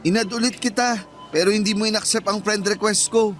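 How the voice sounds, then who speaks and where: A man's voice: high-pitched, steady tone, fairly quick, fairly bright tone, soft background music. A man playing a hurt, insistent young supermarket bagger with a Filipino accent in a radio drama. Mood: hurt, accusing. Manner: insistent, accusing, wounded. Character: hurt, confrontational young male supermarket bagger, close friend.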